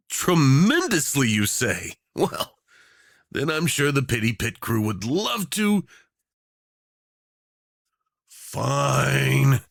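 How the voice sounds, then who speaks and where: Male actor as obsessive tyrant, audio roleplay villain, mock-polite, playful menace, swooping pitch, low fry, flirtatious drawl. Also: no echo, studio quality, full character voice. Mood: grudging, playful. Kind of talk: romantic villain ASMR roleplay.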